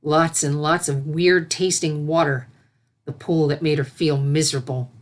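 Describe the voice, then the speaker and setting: A woman reading a story as narrator, with expressive scripted delivery. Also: sits low, normal speed. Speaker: fan fiction narrator.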